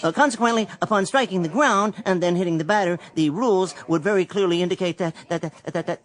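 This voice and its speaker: A man's voice: sits high, fast, a bit bright. A man playing a timid, meek salesman in a radio drama, speaking in a hesitant, stammering and politely formal way. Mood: nervous. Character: timid, meek male vacuum salesman, a perennial failure.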